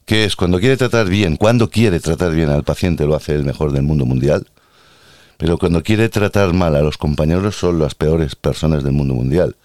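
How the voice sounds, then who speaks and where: A man's voice: very deep voice, moderate pace, a little mellow, no room sound, clean studio sound. A man with an Andalusian accent hosting a casual podcast monologue, ranting in a sarcastic, playfully theatrical way about work as a healthcare worker.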